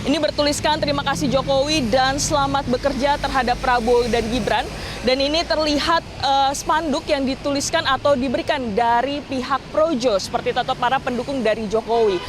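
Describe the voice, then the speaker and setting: High-voiced woman reporting, noisy street. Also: fairly even intonation, brisk. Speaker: TV field reporter. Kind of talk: live on-location news report. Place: outdoors at a roadside bus stop. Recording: outdoor street with clear traffic noise.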